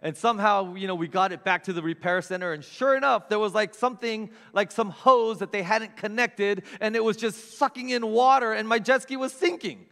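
A middle-aged man preaching a sermon, telling funny stories about himself with big swings in loudness. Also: high-pitched, a little fast, creaky, hardly any pauses, in a large hall. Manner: animated, self-mocking storyteller with big swings in loudness. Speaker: pastor. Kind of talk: storytelling sermon. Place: church sanctuary with long reverb.

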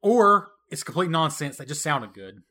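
Bright, lively man hosting a quiz. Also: fairly high pitch, moderate pace. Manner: playful, teasing quizmaster, laughs often. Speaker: podcast co-host running a quiz. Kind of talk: casual retro gaming chat.

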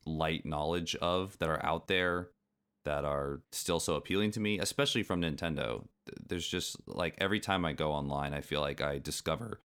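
A man's voice: rumbling low, moderate pace, a bit bright, clear, resonant tone. A man from the United States co-hosting a podcast in casual chat, curious and asking questions. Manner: curious, asks questions, chatty. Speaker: podcast co-host.

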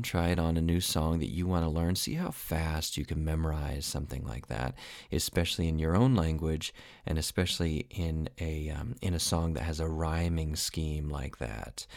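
A man who is an opera singer and voice teacher, giving a casual podcast lesson, relaxed and rambling with many fillers. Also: very deep voice, gravelly, dry recording, studio quality.

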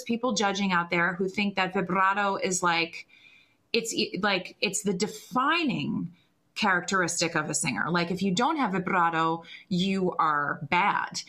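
Woman guest, singing teacher, bright and forceful tone, emphatic and opinionated. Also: medium pitch. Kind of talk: conversational interview.

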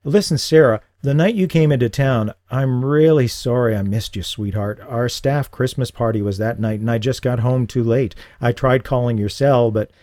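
A man voicing a lonely, regretful middle-aged father with a halting, earnest manner in audiobook dialogue. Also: medium pitch, mellow, no echo, studio quality, lightly acted. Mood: apologetic, earnest.

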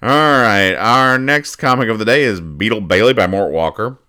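A man hosting a casual podcast, talking through comic strips in a halting, mock-analytical way and reading out the dialogue. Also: lowish voice, pushed and bright, clear, resonant tone. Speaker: podcast host. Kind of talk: casual chat, describing comic strips and explaining the jokes.

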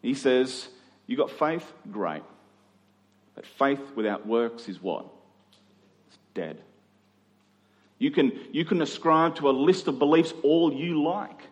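A man's voice: medium pitch, takes it slowly, pauses often, dull audio. A middle-aged man preaching in a conversational expository style, using rhetorical questions and emphatic repetition. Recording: long hall reverb.

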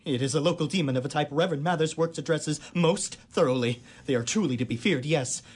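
An actor playing a nervous, stammering young schoolmaster in a community radio drama. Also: male voice, fairly high pitch, fast talker, slightly rough. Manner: stammering, flustered.

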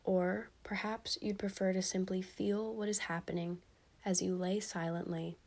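A woman with a mid-range voice guides a bedtime meditation. She reads gently and soothingly, in short measured phrases. Pauses separate her instructions. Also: medium pitch, level tone. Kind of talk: guided sleep meditation, scripted.

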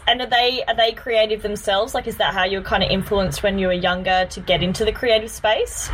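A woman's voice: mid-range voice, steady tone, a little fast, fairly bright tone, slight background noise, low-quality recording. A woman co-hosting a podcast, chatting informally and with enthusiasm. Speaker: podcast co-host. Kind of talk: casual chat.

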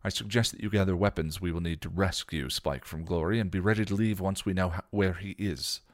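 A man's voice: low-pitched, steady tone, a little fast, no echo, studio quality, close to an everyday voice. A man voicing a fussy, stammering middle-aged mentor in a read-aloud story. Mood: flustered. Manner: flustered, stammering.

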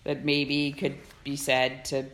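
A woman co-hosting a podcast in casual chat, playful and animated, often reading aloud. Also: a bass voice, slow pace, crowd noise. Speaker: podcast co-host.

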